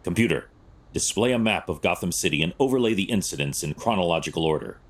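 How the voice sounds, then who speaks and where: An actor playing a stern, analytical vigilante detective in an audio drama, terse and clinical, with a gravelly voice. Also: male voice, sits low, expressive, quick pace, full character voice. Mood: stern, decisive.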